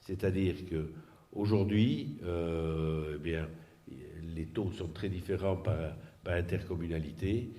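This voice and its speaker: Low-voiced man, halting explanatory talk. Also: darkish tone. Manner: halting, searching for words, repeats words. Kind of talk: explanatory political presentation, unscripted.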